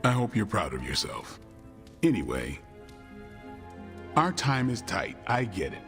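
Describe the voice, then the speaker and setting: Guarded warrior, very deep voice, lively swings in pitch, dry understated delivery, video game cutscene. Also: male voice, a little slow. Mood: dry, assured. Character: gruff male vampire-hunter warrior, dry-witted, bookish, guarded. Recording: long reverb, music underneath.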